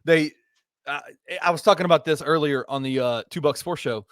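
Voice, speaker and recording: A man's voice: fairly high pitch, fairly quick, a bit bright, dry recording, clean studio sound. A man co-hosting a sports talk show, chatting casually and thinking aloud with fillers.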